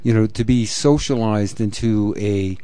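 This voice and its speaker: A man hosts a live radio interview, speaking loosely and rambling through his points with many fillers. His voice sits somewhat low. It has a mellow, dark tone. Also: a little slow. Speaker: radio host.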